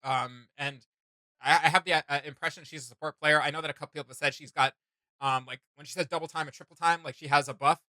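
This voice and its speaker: A man with a very bright, forward voice pitched a little high, talking casually as a reaction host. He rambles through his opinions with a fan's enthusiasm, and the sound is close and dry. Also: steady tone, quick pace, no echo.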